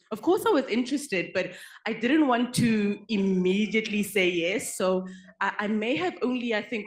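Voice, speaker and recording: Chatty panelist, echoey hall. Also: mid-range voice. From South Africa. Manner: lively, chatty storyteller, playful.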